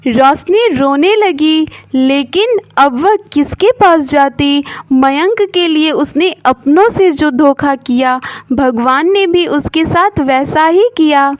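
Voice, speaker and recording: A woman's voice: sits high, takes it slowly, no room sound. A woman storyteller giving scripted narration of a moral story.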